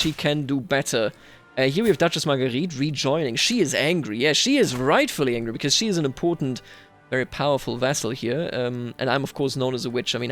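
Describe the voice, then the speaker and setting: Higher-voiced man musing through game commentary. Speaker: gaming video host. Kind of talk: roleplay game commentary, thinking aloud. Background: music.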